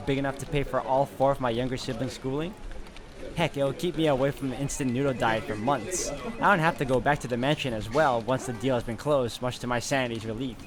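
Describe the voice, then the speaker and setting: A man hosting a gaming video, reading visual novel narration aloud. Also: medium pitch.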